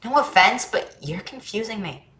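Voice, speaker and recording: A woman's voice: medium pitch, lively intonation, moderate pace, bright, forceful tone. A woman playing a curious, earnest young boy in a staged drama reading, with an informal, questioning way of talking. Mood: puzzled.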